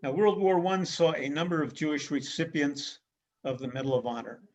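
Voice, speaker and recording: A man giving an illustrated history lecture as a guest speaker, speaking in a measured way with frequent pauses and fillers. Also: fairly high pitch, darkish tone. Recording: dull lo-fi recording.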